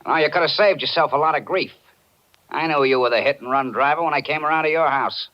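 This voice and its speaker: A man playing a confident, matter-of-fact police detective in 1940s radio acting style, brisk and knowing. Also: a little high, natural voice. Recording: muffled 1940s radio recording.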